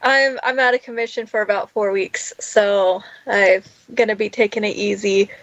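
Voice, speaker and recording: A woman's voice: medium pitch, steady tone, moderate pace, fairly bright tone, no room sound. A woman chatting casually as a guest, informal and a little wry, on slightly lo-fi audio. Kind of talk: casual chat.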